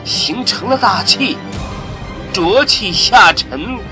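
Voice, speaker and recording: High-voiced man narrating dramatically, slowly. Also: lively intonation. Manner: solemn, dramatic, with weighty pauses. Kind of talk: dramatic scripted narration of a science-fiction radio play. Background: prominent music.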